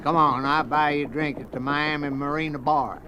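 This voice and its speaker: An actor playing a wry, dismissive boat captain in a 1970s radio drama. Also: male voice, on the high side, fairly even intonation, moderate pace, highs cut off, music in the background, natural voice. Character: gruff skeptical boat captain, middle-aged man.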